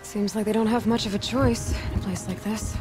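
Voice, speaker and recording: Actress as young huntress, curious, direct, earnest, probing, mid-pitched, game dialogue, background music. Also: female voice, fairly even intonation, a little fast, natural voice. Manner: earnest, probing questions, plainly spoken. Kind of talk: conversational video game dialogue.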